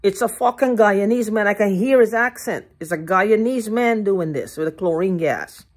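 An older woman ranting to her phone camera in a living room, heated, profane and repetitive, with a hoarse throat. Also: mid-range voice, moderate pace. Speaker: vlogger. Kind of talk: agitated rambling monologue to camera. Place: living room at home, indoors. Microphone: phone held at arm's length.

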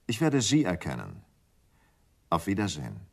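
An actor playing a polite, suave, insinuating schemer with a shady offer in a German radio crime drama. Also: male voice, low-pitched, huge pitch swings, moderate pace, gravelly, background noise, full character voice. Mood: suave, persuasive. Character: smooth, furtive scheming gentleman with a shady offer.

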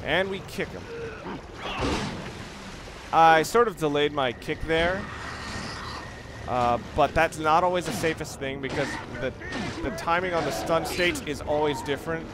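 A man giving casual, rambling gameplay commentary as a let's play gamer, with wry, sarcastic asides. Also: on the high side. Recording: noisy, game sounds behind. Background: video game ambience.

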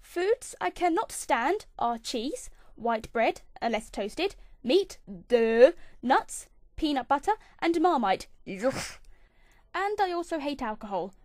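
A young English woman in her twenties with an English accent, hosting her own vlog and reading a list of facts about herself in a chirpy way that dips into low mutters. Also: sing-song, slow, a little mellow, no echo, studio quality. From England. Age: 20s. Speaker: channel host. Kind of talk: scripted fifty-facts vlog.

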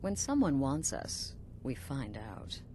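Coolly sinister female assassin leader, middle-aged, low pitch, knowing, measured pauses, video game, faint noise. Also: very animated, mellow, full character voice. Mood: matter-of-fact, resolute.

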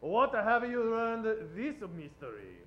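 Pompous sleuth general, played by a man for vintage radio comedy; very high, unhurried, theatrical. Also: very animated, lo-fi sound, full character voice. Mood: smug. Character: pompous detective general solving a murder mystery. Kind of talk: old-time radio comedy acting. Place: small theater stage. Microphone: shared vintage-style stand microphone. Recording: roomy live theater stage.

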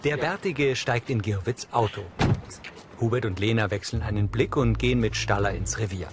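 A man's voice: fairly low pitch, moderate pace, very noisy. A man reading scripted audio description in a neutral, precise manner. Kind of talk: scripted narration.

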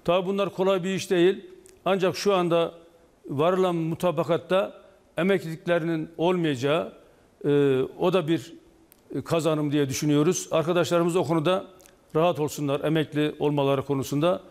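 Union official, male, sixties, high-pitched, measured stop-start delivery, echoing hall-like sound. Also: fairly even intonation, a little mellow. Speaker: trade union official, interview guest. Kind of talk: live TV news interview, explaining. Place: indoor office or studio, video link to TV broadcast. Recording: long reverberant hall echo.

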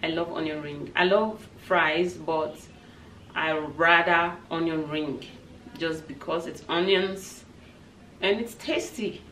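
A woman's voice: sits low, fairly even intonation, takes it slowly, a bit bright. A woman, chatting informally to her viewers as a lifestyle vlogger, recorded indoors on a distant mic. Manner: informal, addresses viewers as guys. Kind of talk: casual chat to camera, answering tag questions. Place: indoors, small set with wooden backdrop. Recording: roomy, distant mic, background noise.

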